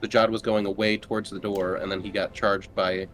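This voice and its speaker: A man's voice: lowish voice, flat delivery, a little fast, a crowd in the background. A man playing a tabletop game, casually chipping in with rules and remarks. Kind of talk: casual chat.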